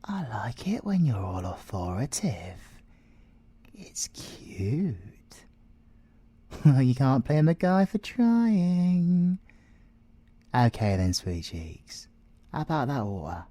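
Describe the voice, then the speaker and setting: A British man playing a cocky, flirtatious male siren in an ASMR audio roleplay, breathy and teasing, often slipping into whispers. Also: mid-range voice, sing-song, slow pace, pauses often, mellow, no room sound, heavily acted. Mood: mocking, flirtatious, then playful, sarcastic. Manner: teasing, theatrical, drops into whispers.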